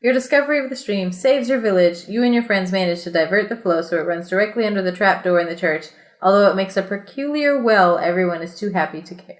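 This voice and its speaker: A woman podcast host reading a storybook aloud in an expressive way, with casual, playful asides. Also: medium pitch, fast talker, hardly any pauses, roomy sound. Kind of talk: storybook read-aloud mixed with casual chat.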